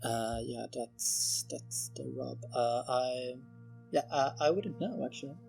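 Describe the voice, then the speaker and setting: A Swedish-accented man roleplaying his character, speaking hesitantly with many pauses. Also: medium pitch, gravelly, a little mellow, faint music behind, rough recording. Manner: hesitant, filler-heavy. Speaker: player.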